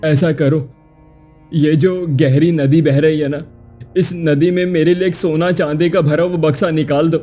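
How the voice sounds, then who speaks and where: A man's voice: a little high, level tone, unhurried, mellow, soft background music. A man playing a poor, weary, greedy washerman who exclaims and pleads in a cartoon story. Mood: weary, pleading. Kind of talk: Hindi cartoon moral story acting.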